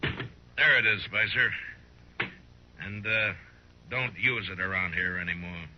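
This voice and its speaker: A weary western marshal with a low voice and an American accent. He is dry, firm and authoritative. The vintage radio recording is dull. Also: slow, slight background noise. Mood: firm, grave. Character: firm, wry, weary frontier lawman. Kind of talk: 1950s radio western acting.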